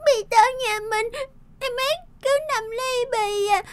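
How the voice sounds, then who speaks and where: A woman's voice: very high-pitched, fairly even intonation, measured pace. A woman voicing a plaintive, devoted little girl, a younger sister, in a cartoon dub. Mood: tearful, pleading. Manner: childlike, plaintive. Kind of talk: dubbed cartoon dialogue. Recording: music behind the voice.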